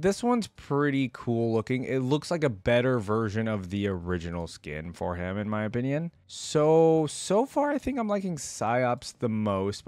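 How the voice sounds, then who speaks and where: A man's voice: lowish voice, a little mellow, dry recording. A man in his thirties giving casual reaction commentary, thinking aloud and hedging as he talks into a close desk microphone. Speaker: reaction video host. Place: small indoor room at a desk.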